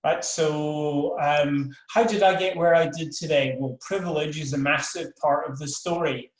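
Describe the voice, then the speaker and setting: A man's voice: on the high side, fairly even intonation, a little slow, reverberant, low-quality recording. An older man from Scotland with a Scottish accent, a professor giving a frank webinar talk, full of fillers and restarts. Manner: frank, self-deprecating, many fillers and restarts. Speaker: professor of world politics.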